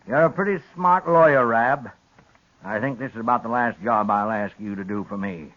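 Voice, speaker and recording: An actor in a 1950s radio western playing an illiterate rancher who threatens calmly in a drawl. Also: male voice, mid-range voice, moderate pace, close to an everyday voice. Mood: grudging, threatening. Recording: dull old radio recording.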